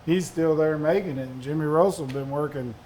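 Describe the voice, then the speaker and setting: A man's voice: fairly high pitch, a little fast, noisy background. A man with a Southern accent, a distiller on a panel, telling folksy, rambling anecdotes. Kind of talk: casual storytelling on a panel.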